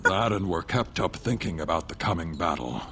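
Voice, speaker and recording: An actor playing a gruff but courteous dwarf commander with formal speech in a fantasy video game. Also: male voice, deep voice, expressive, normal speed, fairly bright tone, soft background music. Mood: firm, cordial.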